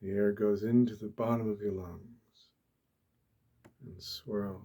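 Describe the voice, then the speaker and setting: A soft-spoken man with a low, mellow voice guides a meditation in ASMR style. His delivery is very slow, gentle and deliberate, with long pauses. The voice is hushed and breathy. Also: steady tone.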